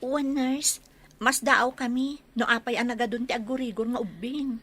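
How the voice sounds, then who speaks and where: Bright-voiced dutiful young daughter played by a woman. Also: fairly high pitch, moderate pace, slight background noise, natural voice. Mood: respectful. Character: dutiful young village daughter, respectful and helpful. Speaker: actor in a radio drama. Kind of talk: Ilocano radio drama acting.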